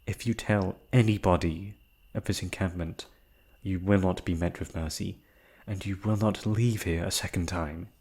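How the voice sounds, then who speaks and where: A man's voice: low-pitched, steady tone, moderate pace, darkish tone, slightly rough. A man acting a shy, proud, wistful noble prince in a romantic fantasy roleplay, with hesitant, formal, sighing speech. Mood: stern warning.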